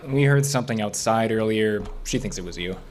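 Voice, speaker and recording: A man's voice: fairly low pitch, a little fast, slight background noise, lightly acted. An actor playing a level-headed park service officer in an audio drama, dry and questioning as he keeps the peace. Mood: calm, questioning.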